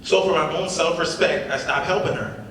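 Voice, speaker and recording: A man's voice: fairly high pitch, moderate pace, crowd noise, natural voice. A man playing a cocky, crude young stand-up comedian telling a story in a script reading. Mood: smug, crude. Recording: very echoey hall, far mic, faint noise.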